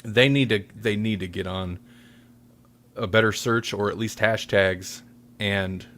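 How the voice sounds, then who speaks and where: A man's voice: fairly low pitch, steady tone, measured pace, fairly bright tone, a little hiss or hum. A man podcast host in casual, rambling chat, often correcting himself.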